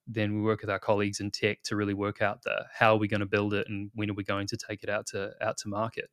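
A man in his thirties with a New Zealand accent, a guest in a casual interview who rambles in a relaxed way, with many fillers and restarts. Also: on the low side, dry recording, studio quality. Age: 30s. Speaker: guest, product manager.

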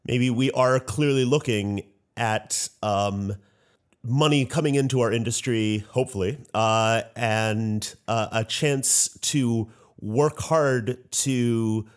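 A man's voice: medium pitch, unhurried. Male moderator, mid-range voice, conversational, careful and tentative phrasing. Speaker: panel moderator.

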